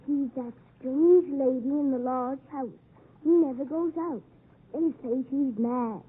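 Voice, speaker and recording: An actress playing a young child in 1950s radio drama style. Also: female voice, high-pitched, measured pace, breathy edge, exaggerated voice. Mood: timid, polite. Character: young child, timid and polite or haughty. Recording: tinny 1950s radio broadcast, faint noise.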